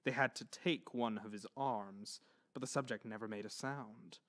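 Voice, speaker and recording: A man's voice: medium pitch, normal speed, a bit bright. A man narrating a horror story in an intense, theatrical manner.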